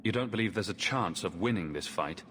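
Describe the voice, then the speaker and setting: Low-voiced resolute hero. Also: male voice, lively intonation, fairly quick, fairly bright tone, slight background noise. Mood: resolute, steady. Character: steady heroic male champion, resolute, protective leader. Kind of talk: dramatic video game cutscene acting. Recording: long reverb, game music behind.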